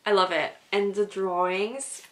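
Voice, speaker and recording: A woman's voice: medium pitch, fairly even intonation, measured pace. Enthusiastic young Belgian woman vlogger. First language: Dutch. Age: young adult. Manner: enthusiastic, gushing with repeated praise. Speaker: book vlogger. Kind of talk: casual chat and reading aloud. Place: small room at home, indoors.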